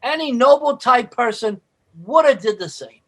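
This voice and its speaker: A freeloading working-class neighbor in a radio sitcom. His very high, sharp voice delivers dry wisecracks and noble-sounding sentiment in rough grammar. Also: lively intonation, moderate pace, exaggerated voice. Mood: mock-noble, sentimental. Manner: wisecracking, ungrammatical, mock-noble. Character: working-class next-door neighbor and best pal, dry-witted, freeloading. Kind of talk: old-time radio comedy acting.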